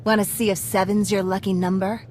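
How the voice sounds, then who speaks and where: An actress playing a brash, evasive underworld informant in a video game, with a flippant, sarcastic, casual way of talking. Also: female voice, mid-range voice, flat delivery, a little fast, a little mellow, slight background noise, close to an everyday voice.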